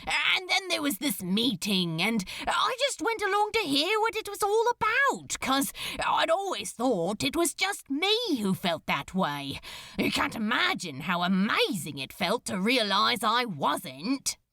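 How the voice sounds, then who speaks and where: A woman plays a restless, jaded demon in an audiobook. The voice is high and bright with a gravelly creak. He rambles colloquially, exasperated and rebellious, at an unhurried pace. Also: very animated, dry recording, studio quality, exaggerated voice. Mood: rueful, emphatic. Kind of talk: audiobook character voice.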